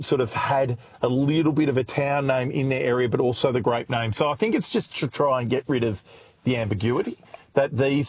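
An Australian man chatting on radio as a regular guest, talking easily with fillers and restarts. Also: mid-range voice. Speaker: rural radio presenter, guest contributor. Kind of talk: casual radio chat.

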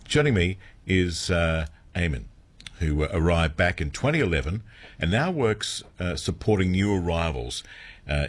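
An Australian man hosting a local breakfast radio show, interviewing a guest in a relaxed, slightly halting way. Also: low-pitched, slightly rough. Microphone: studio microphone.

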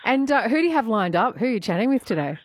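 A woman's voice: fairly high pitch, big pitch swings, very fast, no room sound. Woman, radio presenter, bubbly and chatty, dry close sound. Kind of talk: casual radio chat.

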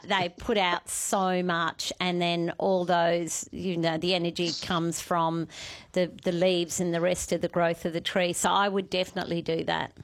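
A woman's voice: lowish voice, fairly bright tone. An Australian woman hosting talkback radio, giving gardening advice in a chatty, forthright way. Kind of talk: casual radio advice chat.

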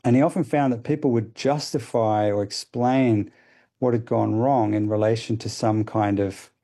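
A man explaining ideas as a radio guest, thoughtful and hesitant, with many pauses and fillers. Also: on the low side, fairly even intonation, dark tone. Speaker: guest expert.

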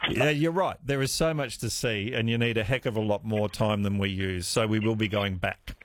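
A man hosting a talkback radio quiz, chatty and quick to laugh. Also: lowish voice, slight background noise. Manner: animated, chatty, laughing easily. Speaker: radio host.

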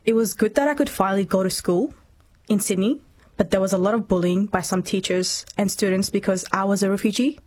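A woman's voice: mid-range voice, darkish tone, a little hiss or hum. Earnest young woman, from Myanmar. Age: 20s. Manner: earnest, measured, reflective. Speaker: interview guest. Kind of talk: personal testimony in interview.

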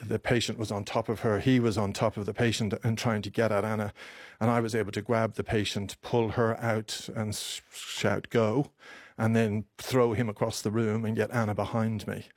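A man in his fifties from Ireland, a doctor interviewed on radio, telling his story emotionally in halting speech, with many restarts and repeated words. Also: fairly low pitch, level tone, no room sound. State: emotional, voice close to breaking.

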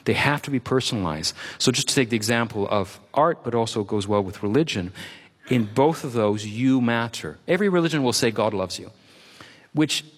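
A middle-aged man from Quebec, with French as his first language, answers interview questions at length. He piles up examples and repeats key words for emphasis, at an ordinary pitch for a man. A long hall reverb trails his voice. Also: expressive, a little fast. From Quebec, Canada. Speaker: author guest. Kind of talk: reflective interview talk.

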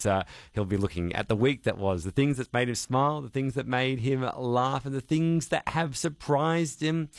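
A man's voice: mid-range voice, no echo, clean studio sound. A man hosting an afternoon radio show, upbeat and chatty, with frequent "uh" fillers. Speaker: radio host. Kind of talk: casual radio chat. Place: radio studio. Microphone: studio microphone.

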